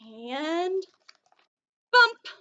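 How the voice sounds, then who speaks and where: High, animated woman reading to children. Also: drawn-out words, dull audio. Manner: teacherly, addresses young listeners with questions. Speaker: storyteller reading a picture book aloud to children. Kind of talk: expressive children's read-aloud with asides and questions.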